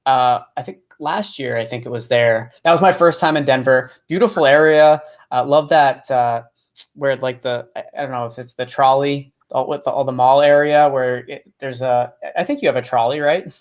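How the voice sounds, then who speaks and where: A man's voice: mid-range voice, moderate pace. A man hosting a podcast interview over a video call, talking casually with many restarts and fillers. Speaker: podcast host.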